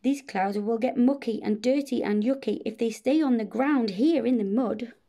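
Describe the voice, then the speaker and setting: A woman's voice: a little high, moderate pace, warm tone. A woman voicing a fussy, dreamy male dragon in a storybook, with a singsong, exclaiming delivery. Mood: dismayed.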